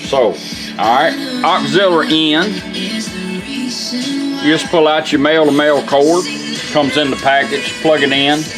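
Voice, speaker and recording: A man's voice: on the high side, measured pace, pauses often, music in the background. A plain-spoken man giving a casual hands-on product demonstration in a folksy way.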